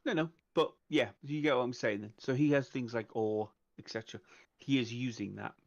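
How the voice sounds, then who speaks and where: A man's voice: mid-range voice, fairly quick, gravelly. A man playing a tabletop roleplaying game, talking tactics casually with the group, with slightly muffled sound.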